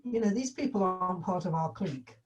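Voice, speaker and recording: A woman's voice: lowish voice, a little fast, slightly rough. A woman talking casually about a script as one of the actors at a table read.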